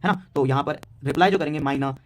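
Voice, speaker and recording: A nineteen-year-old man with an Indian accent, teaching a beginner German lesson in a mix of Hindi and English, halting and repeating himself with many fillers. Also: on the high side. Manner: slow, halting delivery with many fillers and repetitions.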